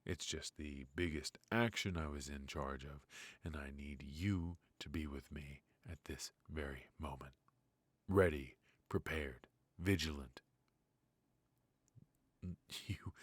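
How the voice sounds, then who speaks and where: Man performing a ruthless noir mafia boss, husky and breathy, unhurried, weary, wry, fatherly edge, close dry ASMR roleplay sound. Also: extremely low pitch, no echo, exaggerated voice. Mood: apologetic, anxious. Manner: slow, pausing, sighing, wry. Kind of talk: intimate ASMR roleplay acting.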